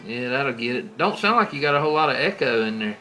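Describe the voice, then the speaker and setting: A man's voice: medium pitch, expressive, fast talker. An American man chatting casually in folksy, colloquial CB radio slang. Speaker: CB radio operator. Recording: clearly noisy CB radio setup.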